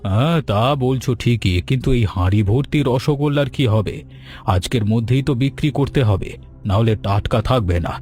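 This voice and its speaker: A man's voice: mid-range voice, a little fast, a little mellow, soft background music, close to an everyday voice. A man voicing a gloomy, fretful middle-aged village sweet-shop owner in a cartoon folk tale. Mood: worried, downcast.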